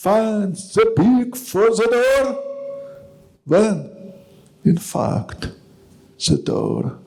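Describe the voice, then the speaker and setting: High, very gravelly man reading poems. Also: darkish tone. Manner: heavy accent, slow deliberate delivery. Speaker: poet reading a translation. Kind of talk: poetry reading. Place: gallery reading event.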